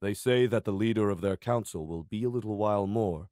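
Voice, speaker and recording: A cautious soldier on guard, acted by a man, speaks in a very low voice. He is deferential, a visual novel character, recorded dry. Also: fairly quick, darkish tone, dry recording, lightly acted. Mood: wary.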